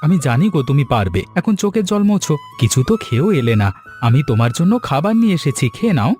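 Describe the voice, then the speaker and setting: Man voicing a lively, coaxing young husband. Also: a little high, quick pace. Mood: soothing. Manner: coaxing, soothing. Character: tender, reassuring young newlywed husband. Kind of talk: Bengali cartoon dialogue acting. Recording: background music under voice.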